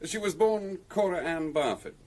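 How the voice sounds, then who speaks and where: An actor playing a helpful police inspector explaining things in a radio drama. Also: male voice, high-pitched, animated, moderate pace, darkish tone. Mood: informative.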